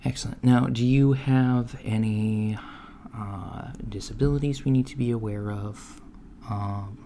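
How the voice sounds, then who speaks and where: Slow, creaky-voiced man in soft ASMR roleplay. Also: fairly low pitch. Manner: soft-spoken, halting, hesitant delivery. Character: polite, businesslike alien-store manager interviewing a job applicant. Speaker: roleplay performer as job interviewer. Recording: lo-fi with steady background noise. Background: computer keyboard typing.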